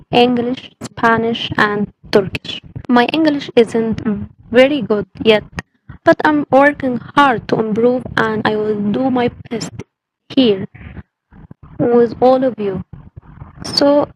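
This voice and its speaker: A woman's voice: on the high side, slight background noise, rough recording. A woman in her twenties from Egypt, a native Arabic speaker with an Egyptian Arabic accent, talking to camera in a casual vlog in careful, simple learner's English. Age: 20s. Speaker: language-learning vlogger.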